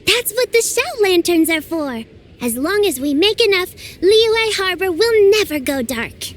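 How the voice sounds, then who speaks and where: An actress voicing a cheerful, earnest young girl apprentice in a game, explaining things patiently and brightly. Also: very high-pitched, very animated, unhurried, a little hiss or hum, exaggerated voice. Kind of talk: game voice acting.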